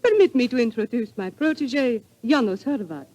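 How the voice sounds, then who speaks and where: An actress playing a poised, gracious young Viennese princess with a formal manner, in 1940s radio drama style. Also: female voice, on the high side, sing-song, moderate pace, a little mellow.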